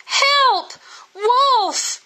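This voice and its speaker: A woman's voice: extremely high pitch, drawn-out words, pushed and bright, recorded from a distance, voice pushed up, exaggerated voice. A woman voicing a mischievous young shepherd boy from a storybook, exclamatory and playful. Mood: shouting alarm. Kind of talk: storybook character voice.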